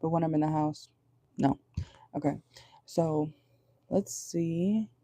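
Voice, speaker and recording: A woman with a low voice giving a casual tarot reading from home, chatty and rambling, often checking in with her viewers. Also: steady tone, takes it slowly, dark tone, no echo. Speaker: tarot reader. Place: at home indoors at night.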